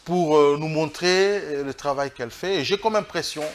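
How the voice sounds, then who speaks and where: A man with a Congolese French accent, speaking as a political guest in an interview, arguing emphatically and haltingly, often repeating his phrases. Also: a little high, a little hiss or hum. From Democratic Republic of the Congo. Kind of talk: political interview, spoken argument.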